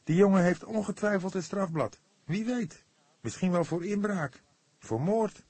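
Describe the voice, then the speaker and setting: A man's voice: sits high, a little slow, darkish tone, slightly rough, dry recording, voice pushed up. A man voicing an eager, impatient younger police detective who speaks in a heated, insistent way.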